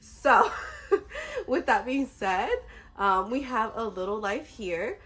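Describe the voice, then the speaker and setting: A woman's voice: on the high side, sing-song, slow pace, pushed and bright, breathy edge. Effusive woman chatting casually about books. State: slightly sick. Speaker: book vlogger. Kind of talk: casual chatty book talk.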